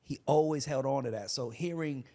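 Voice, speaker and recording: A man hosts a relaxed interview, with a voice of middle pitch. He chimes in with short agreements and tells his own stories in casual slang, acting out the quotes. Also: moderate pace, creaky. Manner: eager, agreeing often, slangy storytelling. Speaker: podcast host.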